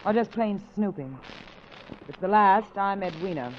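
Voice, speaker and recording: An actress playing a brassy, hostile young wife, curt and wisecracking, in 1940s radio style. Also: female voice, medium pitch, lively intonation, unhurried, lightly acted. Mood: sarcastic. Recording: muffled, hissy 1940s radio recording.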